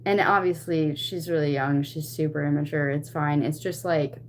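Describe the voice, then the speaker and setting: A woman chatting casually to stream viewers, retelling a personal story in an animated, expressive way. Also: low-pitched, normal speed, a little hiss or hum. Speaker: livestream host.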